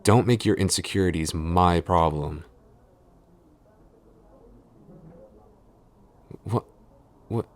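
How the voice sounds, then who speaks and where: Very deep-voiced, hesitant boyfriend played by a man. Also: moderate pace. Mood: exasperated. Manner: stammering, hesitant, easily flustered. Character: flustered, shy tsundere boyfriend and office coworker. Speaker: voice actor in audio roleplay. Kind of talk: intimate boyfriend audio roleplay acting.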